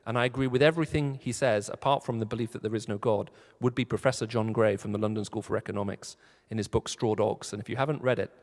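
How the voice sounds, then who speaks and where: A man's voice: fairly low pitch, steady tone, fast talker, hardly any pauses. A man on a forum panel, answering a question and often repeating words as he talks. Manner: repeats words, restarts phrases. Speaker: panel speaker. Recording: long reverb of a large hall.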